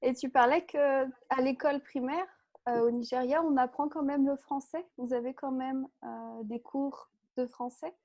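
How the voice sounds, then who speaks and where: A woman's voice: sits high, steady tone, moderate pace, a little mellow. A woman hosting an interview, chatting in a friendly way with hesitations and fillers. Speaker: podcast host, interviewer. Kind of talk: casual interview.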